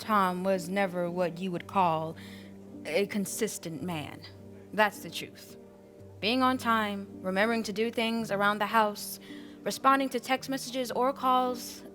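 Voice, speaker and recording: Actress as plain-spoken mother, deliberate, dry understatement, audio drama monologue, faint music behind. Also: medium pitch, fairly bright tone, natural voice. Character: plain-spoken, weary mother recalling a troubled marriage.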